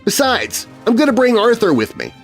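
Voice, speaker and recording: A man's voice: very high-pitched, huge pitch swings, moderate pace, vocal fry, pitched above the performer's own voice, exaggerated voice. A man voicing an eager, stubborn young boy in a children's scary story, with music behind him. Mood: excited, determined.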